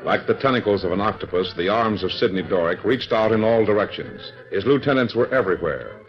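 A man's voice: on the low side, expressive, fairly quick, over music. A man narrating a radio drama in a dramatic, declamatory way. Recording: dull old radio recording.

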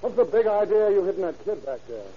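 An actor playing a tough, hot-tempered citizen making threats in blunt slang in an old-time radio drama. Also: male voice, sits high, very animated, quick pace. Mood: angry, threatening. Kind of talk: 1940s radio acting. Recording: muffled, hissy old radio recording.

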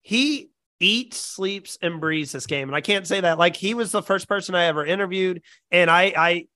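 A man's voice: high voice, normal speed, pushed and bright, no room sound. A man hosting a podcast, chatting casually in an upbeat way and laughing often. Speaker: podcast host. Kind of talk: casual chat.

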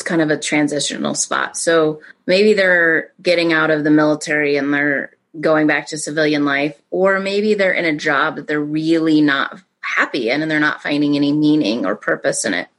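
A woman hosting an interview, asking the guest questions and encouraging him with frequent short affirmations. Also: deep voice. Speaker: podcast host.

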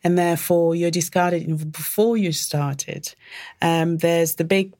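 A woman's voice: on the low side, steady tone, unhurried, a little mellow. A woman whose first language is French, speaking English with a French accent, answering interview questions thoughtfully and often repeating words as she searches for them. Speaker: history professor, guest.

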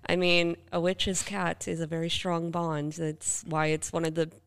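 Female podcast co-host, low clear voice, conversational and informal. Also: monotone, unhurried. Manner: conversational, uses "like" often. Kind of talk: casual chat about the paranormal.

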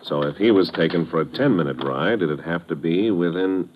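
An actor playing a steady, practical army major with laconic delivery in old radio drama style. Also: male voice, low voice, moderate pace, natural voice. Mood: thoughtful. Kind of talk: 1950s radio drama acting. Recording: muffled, faintly noisy old radio recording.

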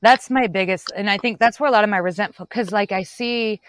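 A woman's voice: medium pitch, a little fast, fairly bright tone, dry recording. A young woman hosting a casual livestream, chatting loosely and rambling through a small ritual. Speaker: livestream host.

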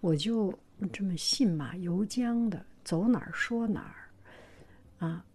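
A woman hosting her own channel, talking gently to her viewers in a soft whisper, hesitant and full of pauses. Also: medium pitch, breathy edge. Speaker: channel host. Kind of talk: whispered vlog talk to viewers.

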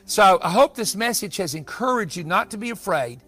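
A man's voice: high voice, animated, normal speed. A man preaching an evangelical prophecy sermon in an emphatic, exhorting way, repeating phrases for weight. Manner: emphatic, repetitive, exhorting delivery. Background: background music.

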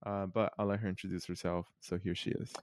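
A man's voice: sits low, little pitch movement, fast, no echo, clean studio sound. A teenage boy hosting a podcast interview, friendly and loose, with many fillers. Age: teens. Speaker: podcast host.

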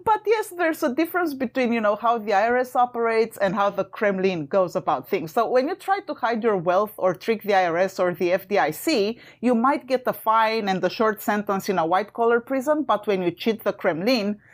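A woman's voice: mid-range voice, lively intonation, fairly quick, hardly any pauses. A woman from Romania co-hosting a podcast in a casual chat, laughing often and adding wry asides. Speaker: podcast co-host.

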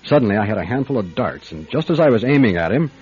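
A dry, wary private eye with a lowish voice, measured and probing. It sounds like radio drama, slightly dull and lo-fi. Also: male voice, big pitch swings, a little mellow, slightly rough, exaggerated voice. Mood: dry, narrating. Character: gruff, wary male private detective who narrates. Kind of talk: radio drama acting and first-person narration.

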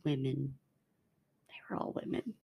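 A woman's voice: a bass voice, animated, unhurried, creaky, dark tone, husky. A woman co-hosting a casual true-crime podcast, talking in an emphatic, matter-of-fact way. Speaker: podcast co-host.

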